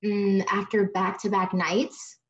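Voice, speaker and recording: A woman's voice: medium pitch, level tone, slow, natural voice. A woman acting an exasperated, streetwise young woman in a comedy table read, slangy and emphatic, from a living room on a video call. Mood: apologetic. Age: young adult. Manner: slangy, emphatic, repeats words. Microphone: laptop microphone. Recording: echoey, dull video-call audio.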